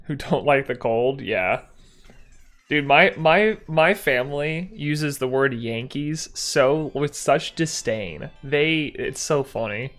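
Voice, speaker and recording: Young male streamer with a light Texan accent, casual and meandering, talking to chat with soft background music. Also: medium pitch, animated, slow pace. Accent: mild Texan. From Texas. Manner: rambling, self-correcting, chatty with chat.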